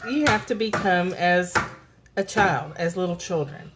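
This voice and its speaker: A woman hosting a live stream from home, reading a daily spiritual text and talking it through in a relaxed, unhurried way, greeting viewers by name. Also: on the low side, noisy background. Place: home, children in background. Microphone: phone.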